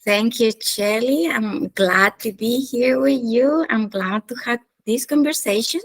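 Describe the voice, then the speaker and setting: A woman from Chile, a chief strategist, answering interview questions in a thoughtful way, with pauses and hesitations. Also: fairly high pitch, rough recording. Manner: slow, thoughtful, with hesitations and light laughs. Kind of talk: interview conversation.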